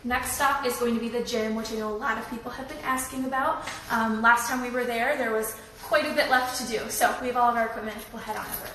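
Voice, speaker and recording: A young woman with a slightly raspy voice from a cold, giving a friendly, chatty live tour of an apartment building. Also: a little high, steady tone, fast, hardly any pauses, noisy background. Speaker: leasing tour host. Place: echoey empty indoor rooms. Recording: echoey room from far off.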